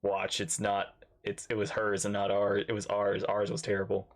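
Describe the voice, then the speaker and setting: A game streamer giving casual, playful reaction commentary, halting and repeating phrases. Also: sits low, completely flat delivery. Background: faint game music.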